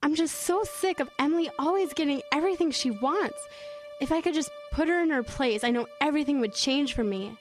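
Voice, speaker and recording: Vengeful, secretive schoolgirl played by a woman, horror audio play. High pitch, evasive delivery, clear music bed. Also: a little fast, natural voice. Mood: anxious, resentful. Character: secretive, vengeful teenage schoolgirl dabbling with spirits. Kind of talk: audio horror drama acting.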